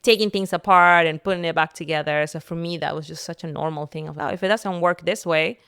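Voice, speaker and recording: Smooth-voiced woman, lower pitched. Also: steady tone, fairly quick, fairly bright tone, no echo, studio quality. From Peru. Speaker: podcast guest, entrepreneur and content creator. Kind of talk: personal storytelling in casual conversation.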